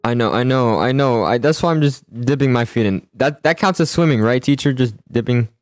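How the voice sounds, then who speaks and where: A young man improvising a school roleplay in a video game, talking in an excitable, slangy way. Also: mid-range voice, a little fast, no echo, studio quality. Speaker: host and player in a school roleplay. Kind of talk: casual improvised gaming roleplay chat.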